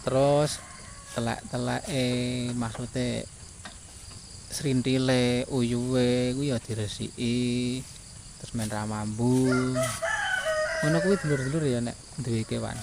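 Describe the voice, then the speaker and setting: Unhurried Javanese village man, noisy outdoor recording. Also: mid-range voice, fairly even intonation, vocal fry. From rural Java, Indonesia. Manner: repetitive, often tagging phrases with a questioning filler. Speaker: village vlogger, farm labourer. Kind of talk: casual vlog narration of daily chores. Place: outdoors by a goat pen in a village.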